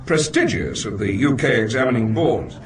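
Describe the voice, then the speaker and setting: An actor playing a genial, self-satisfied academic guest in a radio drama, wordy, formal and courteous. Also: male voice, mid-range voice, expressive, moderate pace, far from the mic, noisy background, natural voice. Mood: flattering. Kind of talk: British radio drama dialogue. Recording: echoey crowded party, off the mic.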